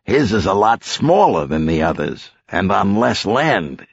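A man voicing a brash, gruff police boss with a commanding, sardonic manner in an audiobook. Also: lowish voice, big pitch swings, measured pace, no echo, highs cut off, exaggerated voice. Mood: wry.

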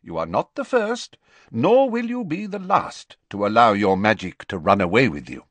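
A man voicing a calm, stern elderly wizard schoolmaster in a storybook, measured, courteous and authoritative. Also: on the low side, dry recording, full character voice. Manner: measured, deliberate, courteous but firmly authoritative.

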